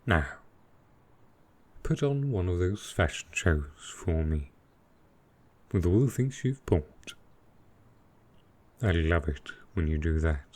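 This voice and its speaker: Deep, slow-talking dragon boyfriend, acted by a man. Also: mellow, heavily acted. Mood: tender, teasing. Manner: slow, tender, hesitant speech. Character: affectionate, possessive male dragon boyfriend baffled by technology. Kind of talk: intimate boyfriend roleplay audio.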